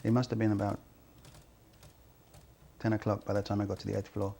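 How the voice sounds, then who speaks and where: Flat, creaky actor as a male cleaner witness. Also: fairly low pitch. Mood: matter-of-fact. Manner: halting, understated. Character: uneasy, plain-spoken male building cleaner giving testimony. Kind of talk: acted police interview testimony.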